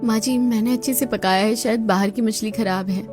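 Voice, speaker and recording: A woman voicing a young daughter-in-law in a Hindi cartoon story, outwardly dutiful and polite but quietly sly. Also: a little high, steady tone, brisk, over music, lightly acted. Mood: feigned innocence.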